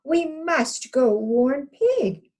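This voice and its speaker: A woman's voice: high voice, sing-song, very slow, a little mellow, pitched above the performer's own voice, heavily acted. Woman voicing Hen, an alarmed, urgent storybook hen. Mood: urgent warning.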